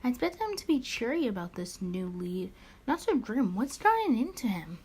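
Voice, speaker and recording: A woman's voice: on the high side, sing-song, moderate pace, darkish tone, slightly rough. A woman hosting a let's play, reading a visual novel aloud expressively with casual muttered asides and small stumbles.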